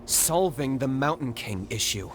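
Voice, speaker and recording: An actor playing a resolute, unflappable young warrior in a video game, speaking in a blunt, matter-of-fact way. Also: male voice, medium pitch, expressive, a little slow, a bit bright, soft background music.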